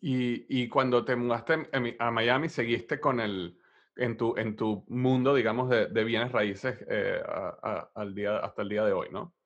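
A man's voice: medium pitch, fairly even intonation, unhurried, a bit bright. Male podcast host, slightly dull audio. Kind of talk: conversational interview.

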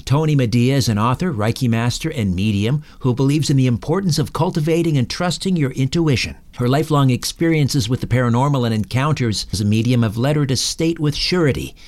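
A man's voice: mid-range voice, brisk, hardly any pauses, a little mellow. A man hosting a talk podcast, delivering scripted narration and sponsor reads. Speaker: podcast and radio host.

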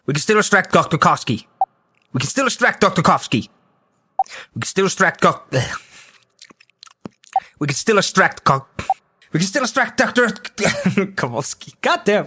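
A man's voice: a little high, bright, forceful tone, breathy edge. A voice actor stumbling over a tricky name, repeating his line and laughing at the mistakes. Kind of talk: blooper reel, flubbing lines.

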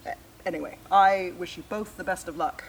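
Actress as frank woman, matter-of-fact, mid pitch, slightly distant, faint noise, audio play. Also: brisk, natural voice. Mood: earnest, formal. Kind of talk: naturalistic audio drama acting.

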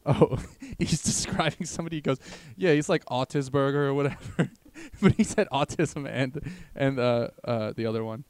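A man's voice: mid-range voice, lively intonation, moderate pace, hardly any pauses, darkish tone, smooth, no room sound. A young man hosting a comedy podcast, chatting casually with crude, slangy banter. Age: young adult. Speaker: podcast host.

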